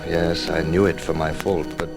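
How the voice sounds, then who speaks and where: An actor playing a proud, restless British sea captain in an old radio drama, wry and theatrical. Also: male voice, a bass voice, flat delivery, normal speed, creaky, music in the background. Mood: wry, reflective. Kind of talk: 1940s-50s radio drama acting. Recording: vintage radio drama recording.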